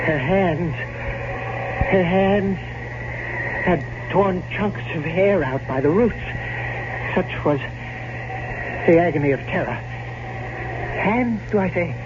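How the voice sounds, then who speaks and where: A superstitious, frightened manservant in a gothic radio drama. His high voice lingers on each horror with dread-filled pauses. The old broadcast is muffled and clearly noisy.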